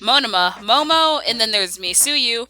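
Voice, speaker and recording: A woman chatting casually as she answers viewer questions, animated and slangy, given to exclaiming. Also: fairly high pitch, normal speed. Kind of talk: casual chat Q&A.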